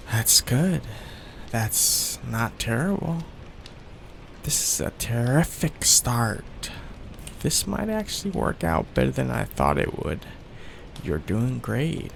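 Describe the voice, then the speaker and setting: Male actor for young mafia heir, ASMR romance: doting, possessive, unhurried, with a lively pitch swing. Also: creaky, noisy background, full character voice. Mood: gentle, encouraging. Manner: tender, coaxing, hesitant, asks for reassurance. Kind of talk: intimate ASMR boyfriend roleplay.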